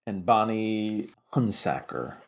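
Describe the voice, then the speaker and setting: A man's voice: on the low side, animated, slow, a little hiss or hum. A man playing an earnest, worried investigator in a tabletop audio drama, pleading politely with a slight stammering delivery.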